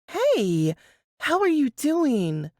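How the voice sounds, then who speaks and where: A woman voicing a caring, upbeat foster sister in an audiobook, affectionate and gently teasing. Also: sits high, huge pitch swings, extremely slow, no room sound, clean studio sound, voice pushed up, heavily acted. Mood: relieved, excited.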